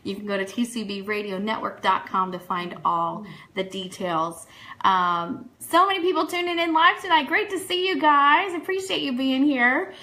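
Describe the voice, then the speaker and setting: A woman's voice: on the high side, animated, normal speed, sharp tone. A woman hosting a live online radio show, chatting through fan news and announcements in an upbeat, enthusiastic way that speaks straight to listeners. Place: studio.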